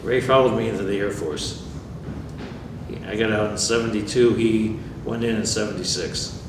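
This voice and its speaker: Older man, dry and plainspoken. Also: on the low side. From New York State. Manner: plainspoken, dry humor, measured. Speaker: veteran interviewee. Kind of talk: oral history interview. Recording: echoey room, far mic, background noise.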